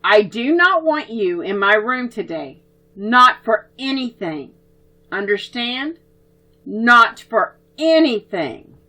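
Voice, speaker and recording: A woman voicing a stern young man, the twins' older brother, who speaks in firm, measured tones. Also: on the high side, very animated, sharp tone, heavily acted.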